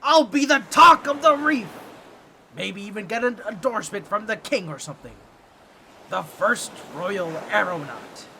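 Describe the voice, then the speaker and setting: A man voicing an excitable, boastful talking octopus in a comedic audio roleplay, with a nasal, squawky voice and a fussy, theatrical, pompous way of talking to the listener. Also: sits high, measured pace, gravelly, slight background noise, full character voice. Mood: excited, boastful.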